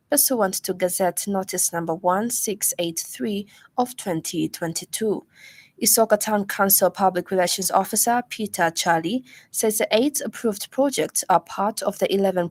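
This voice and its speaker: A woman news reader with a Zambian accent presents a radio bulletin. Her delivery is formal and measured, at a medium pitch, following a script closely.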